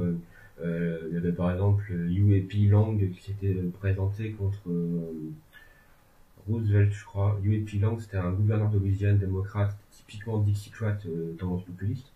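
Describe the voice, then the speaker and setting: A man discussing politics in a casual, rambling way, with many hesitations and joking asides. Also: fairly low pitch. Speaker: legal and political commentator. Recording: tinny phone-like sound in an echoey room.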